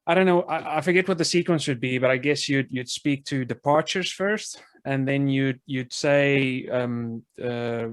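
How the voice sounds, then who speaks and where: A man's voice: mid-range voice, measured pace, hardly any pauses, clean tone, no echo. A man speaking as a student on a video call, thinking aloud in a tentative, hesitant way as he answers. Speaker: student pilot.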